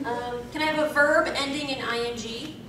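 A young woman playing an anxious, awkward anime character as a panel host, halting and giggly. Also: high-pitched, fairly even intonation, lightly acted. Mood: awkward, fretful. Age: young adult. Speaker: fan convention panel host. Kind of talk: live panel word game and mock-dramatic poetry reading. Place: convention panel room, handheld mic through PA. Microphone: handheld mic over speakers, distant camera. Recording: echoey convention hall from far, noisy.

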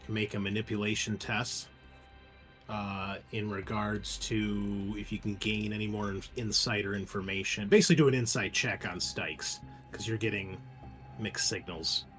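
A man's voice: on the low side, a little slow, fairly bright tone, faint music behind. A man hosting as game master, talking casually through a tabletop roleplaying game. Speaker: game master and channel host.

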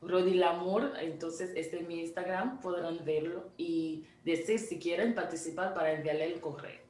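A woman in her forties from Haiti speaks on a video call from a home studio, set back from the mic in a roomy, echoey space with faint ambient hiss. Her voice sits fairly low for a woman, and she explains earnestly, pausing to restart a word now and then. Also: darkish tone, recorded from a distance. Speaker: image consultant guest. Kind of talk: remote interview over video call. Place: home studio room indoors. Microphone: video call from a laptop camera.

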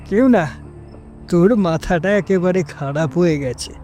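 A man's voice: high-pitched, measured pace, voice pushed up. A man voicing an eerie, cold young friend in a horror story, dismissive and mocking. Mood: cold mockery. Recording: music behind the voice.

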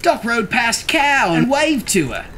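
Animated man reading a storybook aloud. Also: very high-pitched, a little slow, a little hiss or hum. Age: 30s. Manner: theatrical, jokey, animated. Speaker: children's book reader. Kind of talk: expressive storybook read-aloud with playful asides. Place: living room, seated on a couch.

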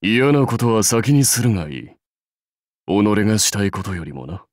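An actor playing a proud, seasoned samurai warlord in a game, speaking in stately, measured, archaic phrases with wry authority. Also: male voice, fairly low pitch, sing-song, no room sound, studio quality. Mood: grave, advising. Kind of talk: scripted game character lines.

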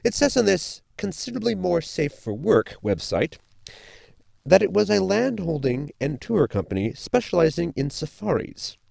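An actor playing an articulate, bookish science officer in a sci-fi comedy audio drama, speaking formally and precisely. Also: male voice, on the high side, sing-song, normal speed, creaky, darkish tone, dry recording, exaggerated voice. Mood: reading aloud. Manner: formal, precise, long-winded.

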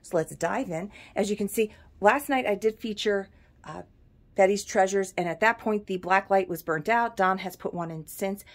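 A woman's voice: mid-range voice, moderate pace. A middle-aged woman giving a chatty shop tour of her antique store, pricing pieces as she films with a handheld phone. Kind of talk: casual video shop tour. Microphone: handheld phone camera.

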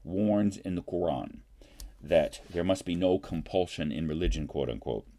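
An older man in his sixties with a very low, soft-edged, dark voice reads a prepared statement aloud. His phrasing is formal and deliberate, with emphatic stresses in the manner of a college professor. He speaks at a desk into a computer microphone in a home study. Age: older, 60s. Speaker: college political science professor. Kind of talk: reading an open letter aloud with brief asides. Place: small home study lined with books. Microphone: webcam or computer mic at desk distance.

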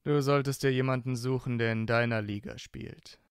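An actor playing a proud, teasing young blond wizard in a fan fiction audio drama, with smug banter. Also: male voice, mid-range voice, steady tone, normal speed, smooth, dry recording, studio quality, close to an everyday voice. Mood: scornful, advising.

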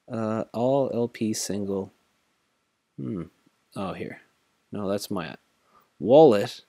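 A man's voice: mid-range voice, dark tone, dry recording, studio quality. A tired man casually thinking aloud while walking through a screen-recorded tutorial, rambling with many fillers. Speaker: crypto tutorial host.

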